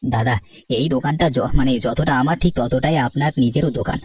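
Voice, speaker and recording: A man's voice: medium pitch, normal speed, a little mellow, slight background noise, close to an everyday voice. A man voicing a kind, generous young food-stall owner in an earnest cartoon manner. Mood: insistent, generous. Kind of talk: dubbed cartoon dialogue. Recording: distant-sounding, music underneath.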